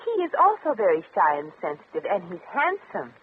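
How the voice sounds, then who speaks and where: An actress playing a breezy, worldly, wisecracking fortune teller with a flip, streetwise manner, in 1950s radio comedy style. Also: female voice, medium pitch, huge pitch swings, brisk. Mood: knowing, coaxing. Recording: crackly, tinny old radio recording.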